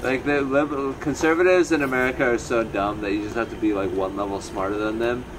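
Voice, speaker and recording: A man who is a comedian guest, chatting casually and deadpan, with self-mocking asides, in a backyard interview. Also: medium pitch, fast, noisy background. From Seattle area. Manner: deadpan, self-mocking, slips into quoted voices. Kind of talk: casual chat. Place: backyard, outdoors.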